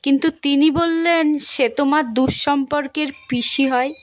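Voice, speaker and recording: A caring village mother played by a woman from a cartoon. Her voice sits high, and she speaks practically with a questioning, fretful edge. Long reverb trails her words. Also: fairly even intonation, normal speed, lightly acted. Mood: questioning, concerned. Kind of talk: cartoon dialogue.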